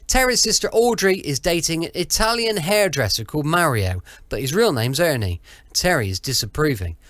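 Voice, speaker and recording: A British man presents a podcast in an informal, intimate style, as if to one listener. His voice sits fairly high and moves around a lot, and the sound is close and dry. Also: animated, moderate pace, fairly bright tone, no echo. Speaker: podcast host. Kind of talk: chatty scripted podcast introduction.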